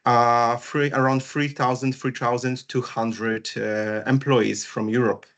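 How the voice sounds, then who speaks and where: A man, chatting casually on a video call as co-host, pausing often with fillers. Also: mid-range voice, level tone, fairly bright tone. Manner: hesitant, many fillers. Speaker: co-host, business and wellbeing strategist.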